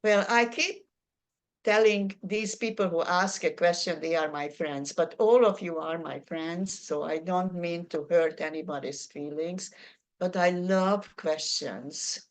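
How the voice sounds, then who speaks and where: Slow-talking Hungarian-accented older woman. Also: on the low side. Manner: chatty, self-deprecating, unhurried. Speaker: workshop presenter. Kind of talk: answering audience questions.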